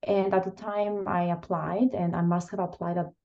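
A woman from Albania who is a guest on a podcast, telling her story thoughtfully with frequent pauses. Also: mid-range voice, little pitch movement, gravelly, a little mellow, highs cut off. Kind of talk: interview storytelling.

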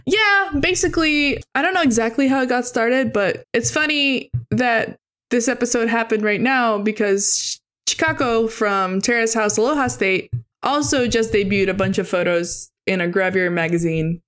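A woman co-hosting a podcast in casual chat, talking fast and peppering her speech with "like". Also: fairly high pitch, a bit bright. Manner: chatty, heavy use of "like" as filler. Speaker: podcast co-host.

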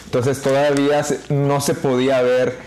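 A man from Mexico, hosting a podcast and prompting his guest with quick questions. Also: medium pitch, little pitch movement, darkish tone, slight background noise, low-quality recording. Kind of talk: casual conversation.

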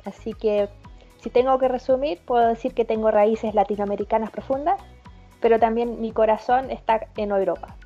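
A woman born in Chile and raised in northern Germany, answering interview questions in a composed, measured way. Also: a little high, steady tone, highs cut off, faint music behind. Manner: measured and composed, with small restarts. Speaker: guest, regional trade representative.

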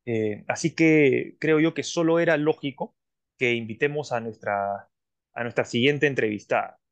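Male interview host, mid-range voice, measured delivery full of fillers and restarts. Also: medium pitch, muffled recording. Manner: measured, many fillers and restarts, drawn-out phrases.

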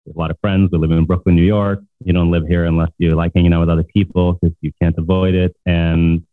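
Low monotone norwegian guy, middle-aged, chatty with lots of uh's, dry tinny phone-quality audio. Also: fast talker, no room sound. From Norway. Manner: easygoing, talkative, with frequent fillers. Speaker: podcast guest. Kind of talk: casual interview chat. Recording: tinny phone-like remote line.

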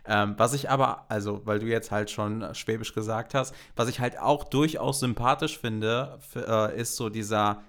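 A man's voice: on the low side, steady tone, normal speed, few pauses, a bit bright. A man with a North Rhine-Westphalian German accent co-hosting a podcast, blunt, easygoing and slangy. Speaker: podcast co-host. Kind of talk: casual chat.

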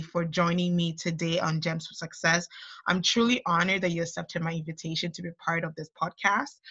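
A woman hosting a podcast, reading a formal, measured introduction and putting questions to her guest. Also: on the low side, pushed and bright, muffled recording. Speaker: podcast host.

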